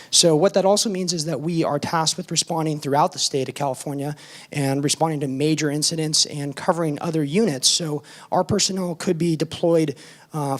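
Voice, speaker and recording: A man serving as a fire department battalion chief, giving an informal presentation at a public forum with a hoarse, worn voice. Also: on the high side. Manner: informal, with frequent ums and uhs. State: hoarse, losing his voice.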